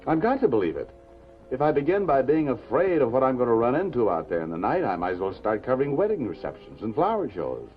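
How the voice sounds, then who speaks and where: An actor with an American accent playing a wry, unafraid city newspaper reporter in a 1950s television drama. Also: male voice, medium pitch, huge pitch swings, fast talker. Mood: earnest, reassuring. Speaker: actor in lead role. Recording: dull 1950s TV soundtrack, music behind.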